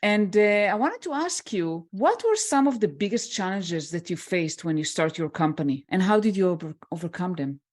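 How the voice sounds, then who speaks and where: A woman's voice: fairly low pitch, animated, moderate pace, hardly any pauses, a little mellow. A woman who hosts a podcast, introducing and thanking her guest graciously and asking her questions. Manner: gracious, thankful, lavish praise. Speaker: podcast host. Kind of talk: podcast hosting and interviewing.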